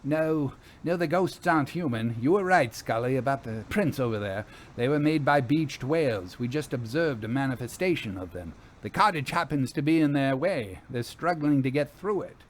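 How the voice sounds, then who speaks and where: A man's voice: mid-range voice, lively intonation, normal speed, few pauses, a little hiss or hum, natural voice. A man voicing a commanding, urgent woman ghost investigator in a story, speaking in an insistent, formal way.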